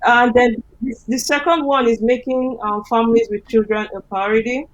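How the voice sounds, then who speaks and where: Halting woman candidate, dull sound. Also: a little high, fairly even intonation. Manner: halting, many um fillers. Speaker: election candidate. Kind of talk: panel answer.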